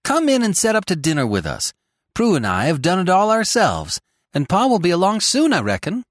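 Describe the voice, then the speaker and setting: An excitable, bossy teenage farm girl from a storybook, played by a man, bustling along in homespun country talk. The voice is lifted high and swoops with lively melody. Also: a little fast, no echo, clean studio sound. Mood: brisk, hospitable. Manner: rustic old-fashioned country talk. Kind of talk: storybook character voice.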